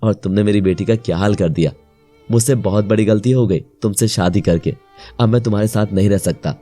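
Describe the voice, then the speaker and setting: A man voicing a devoted, emotional young widowed father in a melodramatic animated moral story, speaking earnestly. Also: fairly low pitch, fairly quick, faint music behind, pitched below the performer's own voice, close to an everyday voice. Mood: angry, regretful.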